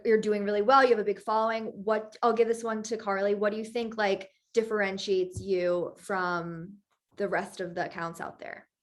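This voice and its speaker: A woman's voice: mid-range voice, no room sound. A young woman hosting a podcast, giving chatty, upbeat introductions and interview questions. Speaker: podcast host. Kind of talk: casual interview chat.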